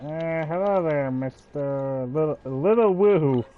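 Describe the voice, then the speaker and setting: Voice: mid-range voice, slow pace, narrow, tinny audio. A game streamer giving casual live commentary, muttering amused asides.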